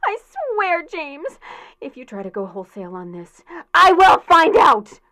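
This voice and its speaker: A jealous, demanding fiancée with an extremely high voice that swoops wildly from sugary sarcasm to shrill complaint. She nags melodramatically in the manner of comic radio drama. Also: sing-song, unhurried, bright, forceful tone, exaggerated voice. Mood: bossy nagging.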